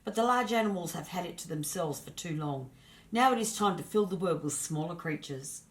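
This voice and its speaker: A patient male creator spirit from a storybook , voiced by a woman storyteller. He speaks with calm assurance and reassures whoever questions him. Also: fairly low pitch, a little fast, natural voice. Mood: content.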